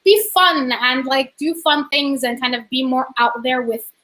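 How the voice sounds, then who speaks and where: A young woman chatting casually on a video call from home, upbeat and animated as she talks about her art business. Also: high voice, unhurried. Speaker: co-host, art business owner. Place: home room. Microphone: webcam on a video call.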